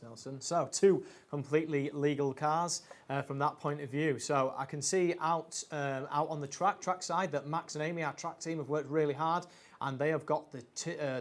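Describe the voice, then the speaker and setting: A man presenting a live school car racing show, upbeat, handing over between the commentators, with a few fillers. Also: on the high side. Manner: upbeat, links segments, some fillers.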